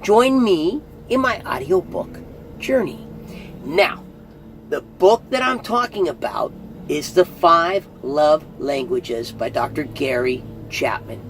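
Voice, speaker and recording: A man's voice: fairly high pitch, lively intonation, slow pace, a little hiss or hum, low-quality recording. A middle-aged man giving a casual, earnest spoken review, chatty and often restarting phrases. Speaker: audiobook reviewer.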